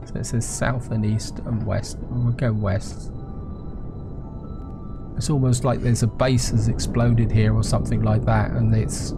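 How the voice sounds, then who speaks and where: A man with a sore throat and a croaky voice, casually commenting on his own gameplay and chatting to viewers. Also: medium pitch. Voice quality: croaky, gravelly voice. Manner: chatty, addresses viewers as guys. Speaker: gameplay video host. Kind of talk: casual let's-play commentary. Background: game music.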